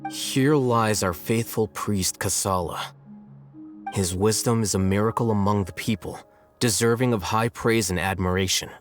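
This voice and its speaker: An actor playing a composed, self-assured young scholar in a video game, speaking in a measured, dry, matter-of-fact way. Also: male voice, lowish voice, soft background music, lightly acted.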